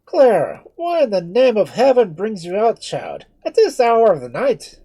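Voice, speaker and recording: A man voicing a portly, cheerful Irish friar with a brogue, loud and fussing. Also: sits high, huge pitch swings, moderate pace, husky, pitched above the performer's own voice, full character voice. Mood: scolding alarmed. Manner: loud, bustling, fretful.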